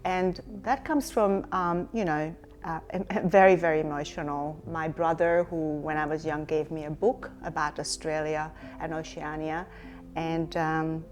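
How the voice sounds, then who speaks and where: A middle-aged woman from Hungary speaks with a slightly low voice. She answers interview questions thoughtfully, pausing on fillers and restarting her sentences. Faint music plays underneath. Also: fairly even intonation. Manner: reflective, many fillers and restarts. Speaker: company CEO, guest.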